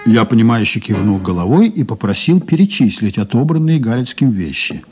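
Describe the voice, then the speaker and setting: An actor playing a courteous, sly investigator in a Soviet radio play, polite and insinuating with a deliberately casual air. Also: male voice, on the low side, sing-song, darkish tone, faint music behind. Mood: wry, reflective. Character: courteous, sly male investigator probing a witness. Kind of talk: Soviet 1980s radio play, dialogue and first-person narration.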